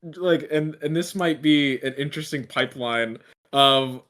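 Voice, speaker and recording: A man talking casually as a panelist in a book club discussion, animated and swearing now and then. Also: on the high side, a little slow.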